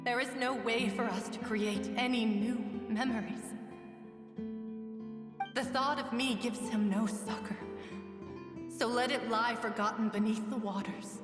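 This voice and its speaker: A woman voicing a gentle, lovelorn water spirit in a video game, wistful and formal, with a ghostly reverb on her voice. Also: on the high side. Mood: grieving, resigned. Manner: wistful, formal, lingering phrasing. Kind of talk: dramatic video game voice acting. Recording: long reverb, distant, echoing. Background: music.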